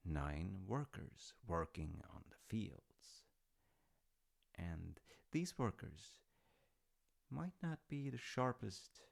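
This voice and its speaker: A man's voice: low-pitched, creaky, full character voice. A man playing an easygoing dad in an intimate audio roleplay, telling old myths casually with wry, understated humour. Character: easygoing, artsy dad telling Norse myths to his grown child.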